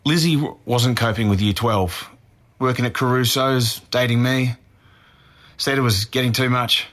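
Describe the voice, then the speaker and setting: A man's voice: mid-range voice, normal speed, a bit bright, lightly acted. A man acting as a defensive Australian murder suspect in his twenties, speaking earnestly in blunt slang in an interview-style audio drama. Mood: earnest, pained. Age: 20s.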